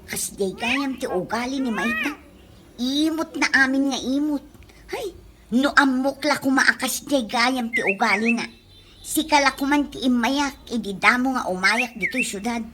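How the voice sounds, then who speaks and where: A woman's voice: high voice, a little slow, noisy background. A woman playing a gossipy, complaining friend in an Ilocano comedy radio drama, with a nagging, dramatic delivery and an Ilocano accent. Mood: aggrieved.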